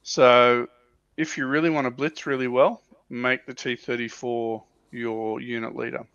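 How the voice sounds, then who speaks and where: Guy with a creaky voice going through game units on a stream, kinda hesitant, dry but slightly muffled audio. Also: mid-range voice, level tone, measured pace, fairly bright tone, no echo. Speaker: livestream co-host. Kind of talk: casual explanation of tabletop game units.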